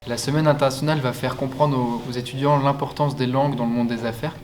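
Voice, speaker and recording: A young man from France talks through a student project, stopping often for fillers and restarts. His pitch sits at a middle level for a man, with clearly audible music playing underneath. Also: fairly even intonation, fast talker, a little mellow. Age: young adult. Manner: hesitant, many fillers and restarts. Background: background music.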